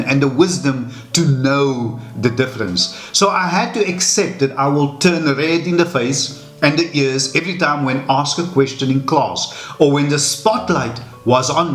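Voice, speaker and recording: A man's voice: medium pitch, fairly bright tone. Earnest man, echoey room. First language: Afrikaans. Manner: earnest, measured, with frequent repeated words. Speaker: channel host. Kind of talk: reflective personal talk to camera. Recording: echoey room with faint music.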